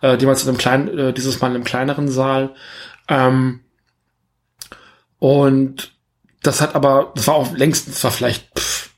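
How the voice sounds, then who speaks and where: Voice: medium pitch, little pitch movement, moderate pace, pauses often. A podcast co-host, chatting casually about a film in a rambling way, thinking aloud. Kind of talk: casual film review chat.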